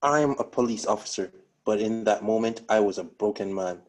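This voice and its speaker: A man playing a bitter, guilt-ridden widowed police officer with a husky voice, in a dramatic script read-through. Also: on the low side, brisk, natural voice. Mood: guilty, haunted.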